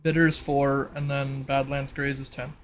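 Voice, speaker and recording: A man's voice: mid-range voice, little pitch movement, normal speed, slight background noise. A man running a tabletop roleplay as game master, talking casually.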